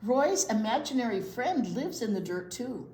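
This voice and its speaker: A woman reading a picture book aloud to young children in an expressive way, pausing to explain words simply. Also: fairly high pitch. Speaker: library storytime presenter, children's teacher. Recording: roomy echo, light background noise.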